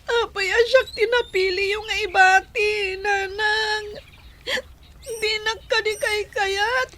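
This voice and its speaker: A woman's voice: very high voice, steady tone, takes it slowly, sharp tone, slight background noise, heavily acted. An actress playing a plaintive, pleading young girl who feels hurt, in a radio drama.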